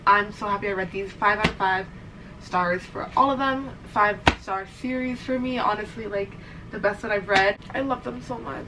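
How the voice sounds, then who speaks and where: A woman's voice: medium pitch, moderate pace. Congested, teary young woman vlogging. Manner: emotional, rambling, swears, says "like" and "literally" often. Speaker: reading vlogger. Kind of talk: casual vlog chat to camera. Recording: distant mic with clear background noise.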